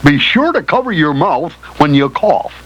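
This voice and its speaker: An actor playing a folksy, emphatic health teacher in an educational cartoon, on an old film soundtrack. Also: male voice, a little high, sing-song, normal speed, lo-fi sound, background noise. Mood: earnest, advising. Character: animated friendly male health teacher, folksy and instructive. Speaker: explainer guide. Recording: old 16mm film soundtrack, loud music.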